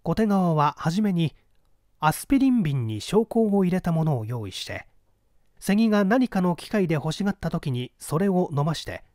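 A man reading literary fiction aloud as a scripted narration, with measured, deliberate phrasing. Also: a little high, a little mellow, no room sound, clean studio sound. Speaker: audiobook reader, narrator of classic mystery fiction.

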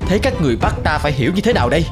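A man's voice: fairly high pitch, big pitch swings, rapid-fire. A man voicing a proud, jealous rich husband in a folktale cartoon, stern and formal, with music behind. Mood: angry, scornful. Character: proud jealous wealthy husband, later humbled remorseful wanderer. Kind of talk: Vietnamese animated folktale dubbing.

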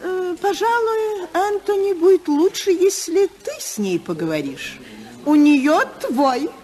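An actress playing a fussy, disapproving older society matron in a 1950s radio play. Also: female voice, very high voice, big pitch swings, a little slow, mellow, heavily acted. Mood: fretful, fussy. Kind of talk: 1950s radio drama acting.

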